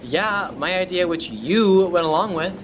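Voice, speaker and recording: A man's voice: high-pitched, expressive, measured pace. Uneasy questioning Johnny in a rainy drama, man acting. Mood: anxious. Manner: plain, questioning. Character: Johnny, uneasy questioning male lighthouse companion. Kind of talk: amateur radio play acting. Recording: noisy rain and storm ambience.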